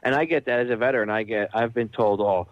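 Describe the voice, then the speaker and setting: A man's voice: medium pitch, fast, no echo. A man hosting a radio interview, talking conversationally in an earnest, opinionated manner. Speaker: radio show host, interviewer. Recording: dull, band-limited radio interview audio.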